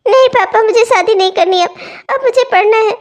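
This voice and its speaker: A woman's voice: very high-pitched, a little fast. A woman playing a meek, dutiful young bride, earnest and plaintive, in a melodramatic family story. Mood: earnest resolve.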